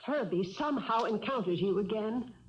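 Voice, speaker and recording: An actress playing a fed-up, wounded wife who questions pointedly and firmly, in 1970s radio drama style. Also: female voice, medium pitch, animated, normal speed, slight background noise, close to an everyday voice. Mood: accusing. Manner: pointed, interrogating. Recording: muffled vintage radio broadcast.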